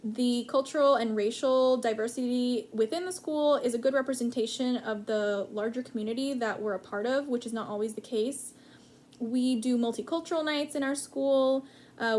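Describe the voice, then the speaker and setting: A woman teacher speaks in a fairly high voice, calm and reflective. She answers interview questions and pauses often with soft ums. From United States.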